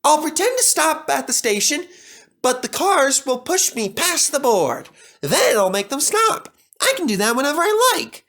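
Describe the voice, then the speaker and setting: A man's voice: extremely high pitch, very animated, moderate pace, sharp tone, voice pushed up, full character voice. A man voicing a small, cheeky, conceited young steam engine with a boastful, playful manner. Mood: smug.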